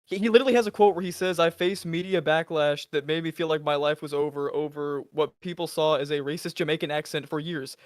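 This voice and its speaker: A man's voice: on the high side, fairly even intonation, quick pace, hardly any pauses, dry recording. Podcast co-host, male, dry close mic, worked-up and argumentative, stumbling over himself. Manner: argumentative, exasperated, many restarts. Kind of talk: casual chat.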